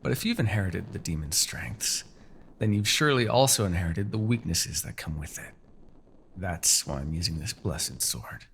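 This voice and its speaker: A man's voice: sits low, a bit bright, slight background noise, heavily acted. A man playing a proud, bitter, centuries-old demon king in a fantasy audio roleplay, menacing, theatrical and sardonic.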